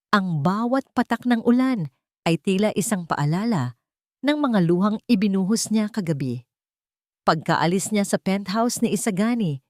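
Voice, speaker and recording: A woman's voice: lowish voice, a little mellow, no room sound, studio quality. A woman reading a scripted romantic drama as its narrator, in a dramatic storytelling style.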